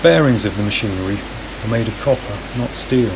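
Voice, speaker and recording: Low-voiced man narrating calmly. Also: heavy background noise. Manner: calm, measured delivery. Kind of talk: scripted documentary narration. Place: voice-over, recorded apart from the woodland footage.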